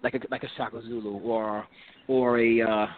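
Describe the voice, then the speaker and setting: Halting man over a tinny line. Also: mid-range voice, little pitch movement, slight background noise. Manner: halting, many restarts and repeats. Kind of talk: casual group discussion.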